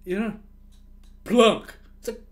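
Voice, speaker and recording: A man's voice: sits high, fairly even intonation, takes it slowly, fairly bright tone. A man whose first language is not English, chatting casually on a livestream, ranting animatedly and swearing freely.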